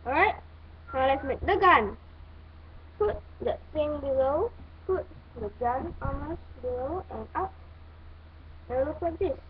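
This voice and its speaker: A woman giving halting, step-by-step instructions in a hands-on toy building tutorial. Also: sits high. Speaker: toy review host. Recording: muffled phone-like audio, clear background noise.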